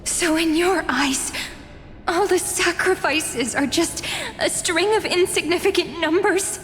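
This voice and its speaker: An actress playing an earnest young heroine in a video game cutscene, speaking in a questioning way. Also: female voice, very high-pitched, a little slow, a bit bright. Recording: reverb with music behind.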